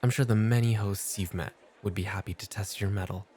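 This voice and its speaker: A man playing a cool, unhurried club host in an ASMR roleplay, speaking with firm, dry, measured teasing. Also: on the low side, steady tone, warm tone, a little hiss or hum, natural voice. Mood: playful.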